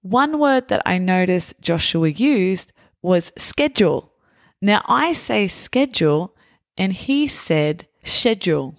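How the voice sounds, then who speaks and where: A woman hosting a podcast for English learners, explaining words in a slow, careful, scripted way. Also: mid-range voice, sing-song, no room sound, clean studio sound. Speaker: podcast host.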